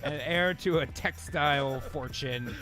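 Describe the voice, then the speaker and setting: A man with a slightly high voice riffs like a host running a loose creative session. He is animated and salty-tongued, talking casually to fellow performers. Music plays clearly behind him. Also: measured pace. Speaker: host of an improvised table-read show.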